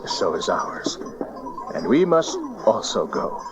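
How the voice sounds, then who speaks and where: A man's voice: deep voice, sing-song, slow, over music, heavily acted. A man playing a wise, grave traveller from the East in a dramatized audio Bible, solemn and dramatic. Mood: solemn, urgent. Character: wise, grave eastern traveller, a magus leader. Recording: dull cassette, crowded background.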